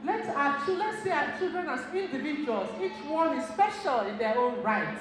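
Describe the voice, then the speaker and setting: High-voiced woman in an echoey hall. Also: moderate pace. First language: Igbo. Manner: storytelling, animated, laughs often, addresses audience directly. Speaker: seminar speaker. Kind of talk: motivational talk to an audience. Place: community center hall.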